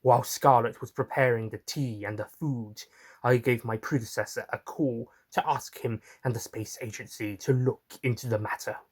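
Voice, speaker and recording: A man's voice: medium pitch, steady tone, moderate pace. A man narrating a first-person story theatrically and in character, with chatty asides to the listeners. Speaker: writer and narrator reading in character.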